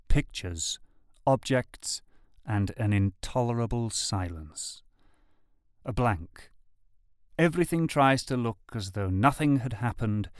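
A lovesick, anguished man acted by a male reader pours out a love letter in heartfelt, literary phrases. His mid-pitched voice sounds dry and close, in the manner of a dramatic reading. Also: dry recording.

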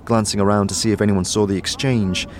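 A man reading scripted audio drama narration in a measured, descriptive storytelling way. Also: on the low side, fairly even intonation, slight background noise.

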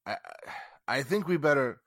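A man's voice: medium pitch, lively intonation, moderate pace, fairly bright tone, no room sound, studio quality. A man playing an embarrassed, apologetic, hesitant townsman in a 1940s radio drama.

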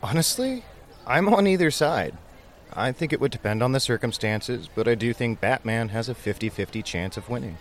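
A man's voice: mid-range voice, lively intonation, normal speed, natural voice. A man playing an easygoing, earnest survivor caring for a baby in an audio drama.

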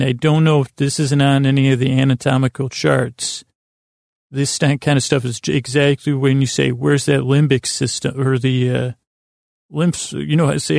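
A man hosting a sleep podcast in a creaky, tired voice, rambling haltingly through tangents and often interrupting himself. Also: medium pitch, darkish tone, no echo, studio quality. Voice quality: creaky, croaky voice. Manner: meandering, halting, self-interrupting, trailing off. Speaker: podcast host.

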